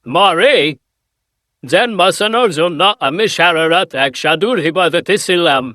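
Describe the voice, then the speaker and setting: A man's voice: sits high, measured pace, sharp tone, dry recording, studio quality. A man doing an anxious poor woodcutter father. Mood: worried. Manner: pleading, tender.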